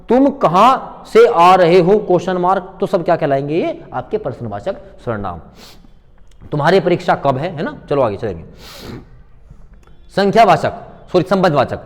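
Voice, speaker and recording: A man's voice: high voice, a little mellow. A man teaching a grammar lesson in an informal, instructional way, repeating key points and putting questions to students that he answers himself. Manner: informal, familiar address to students. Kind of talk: classroom grammar lecture with worked examples. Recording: long hall-like reverb on voice.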